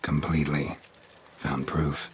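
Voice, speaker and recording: A man playing a stoic, world-weary monster hunter in a video game, with a raspy voice and a terse, deadpan delivery. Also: rumbling low, takes it slowly, long reverb tail, slight background noise. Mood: calm, terse. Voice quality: gravelly, raspy. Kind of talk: video game cutscene acting.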